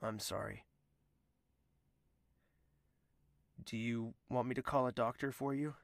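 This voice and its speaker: A man's voice: medium pitch, fairly even intonation, a little fast, vocal fry, no echo, close to an everyday voice. An actor in an audio drama playing an anxious, lovesick nobleman, hesitant and brooding as he talks and narrates. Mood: gentle, dejected. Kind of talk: audio drama dialogue and first-person narration.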